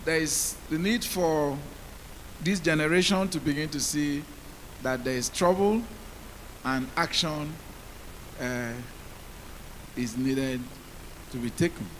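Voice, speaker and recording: A deep-voiced male policymaker on a conference panel, speaking in a measured way and asking the audience rhetorical questions. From Nigeria. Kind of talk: panel talk. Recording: hissy conference mic.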